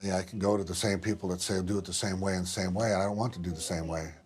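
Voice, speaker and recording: A man with a low voice giving interview answers as a school superintendent. His phrasing is blunt and folksy, and there is faint ambient noise behind him. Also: steady tone, fast talker.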